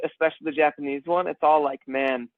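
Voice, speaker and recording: A man co-hosting a casual podcast, rambling and animated, calling his friend bro and man. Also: a little high, fast, no echo. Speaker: podcast co-host. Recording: dry phone-like sound.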